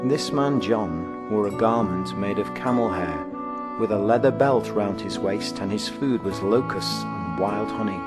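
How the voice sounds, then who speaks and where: A man with a low voice reads scripture aloud. His delivery is solemn, measured and declamatory, with a dramatic edge. Loud instrumental music plays behind him. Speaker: scripture reader. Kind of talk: solemn dramatic Gospel reading.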